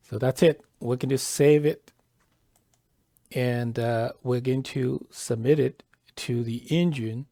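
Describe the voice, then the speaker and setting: Slow-spoken man with a creaky, mellow voice. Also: mid-range voice, no echo, studio quality. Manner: halting, deliberate delivery with pauses mid-phrase. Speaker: software tutorial presenter. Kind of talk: step-by-step tutorial narration, lightly scripted.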